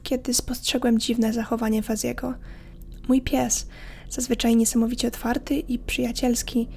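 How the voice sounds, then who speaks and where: Female horror podcast narrator: slightly high voice, flat measured intonation, hushed suspense. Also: vocal fry, a little hiss or hum. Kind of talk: scripted horror story narration. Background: faint music.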